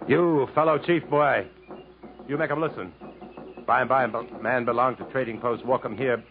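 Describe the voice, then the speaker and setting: An actor playing a resolute, commanding adventurer hero in a 1950s radio drama, speaking earnestly and in a clipped way. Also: male voice, medium pitch, moderate pace, a little hiss or hum, lightly acted. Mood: firm bargaining. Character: resolute adventurer hero fleeing through jungle, also narrating. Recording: muffled old radio broadcast with music.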